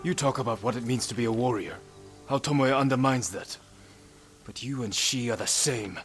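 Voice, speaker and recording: An actor playing a solemn, judgmental samurai warrior in a video game, with a measured, stern delivery. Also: male voice, medium pitch, a bit bright, natural voice. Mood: stern, accusing. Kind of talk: dramatic video game acting. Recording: music behind the voice. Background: music.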